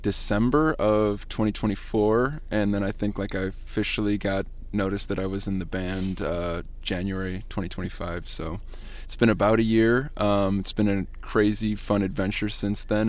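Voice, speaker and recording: A man, a band's bassist, answering interview questions in a parked car in a measured, earnest way. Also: steady tone. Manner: measured, earnest, a few fillers. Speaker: band bassist, guest. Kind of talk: casual interview chat.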